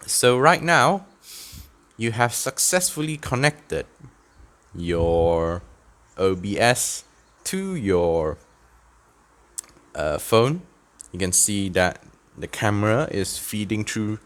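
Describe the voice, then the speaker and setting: A man giving an informal step-by-step software tutorial, somewhat halting and dropping in light asides. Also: lowish voice. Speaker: tutorial presenter, church tech volunteer. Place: indoors at a desk.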